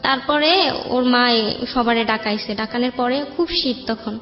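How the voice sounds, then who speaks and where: A woman's voice: fairly high pitch, unhurried. A young woman with a Bangladeshi accent recounts her life in a colloquial, rambling way as an interview guest. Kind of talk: personal storytelling in an interview. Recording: dull roomy sound, background music.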